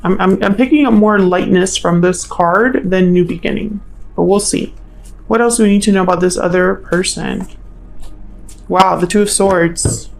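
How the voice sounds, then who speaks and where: A woman's voice: medium pitch, normal speed, vocal fry, a little hiss or hum. A woman giving an informal tarot reading as host, musing and thinking aloud in a tentative way. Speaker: tarot reader, channel host.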